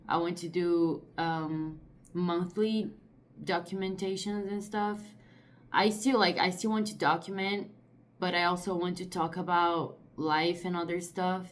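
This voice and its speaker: A woman in her thirties from Brazil, Portuguese her first language, talking casually to camera in English with a Brazilian accent, often pausing to find her words. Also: lowish voice, little pitch movement. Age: 30s. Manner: thoughtful, searching for words with many "like"s. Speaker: artist vlogger.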